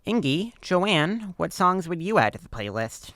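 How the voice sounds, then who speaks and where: A woman playing a composed young team leader in a student audio drama, measured, peacemaking and faintly condescending. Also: on the low side, sing-song, fairly bright tone, no echo, studio quality, heavily acted.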